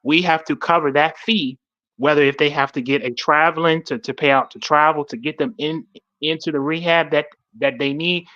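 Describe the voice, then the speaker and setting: Guy w a bright forceful voice, kinda high, talking live, repeats himself, muffled lo-fi audio. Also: steady tone. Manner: halting, repetitive, seeks agreement with listeners. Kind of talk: impassioned casual live talk.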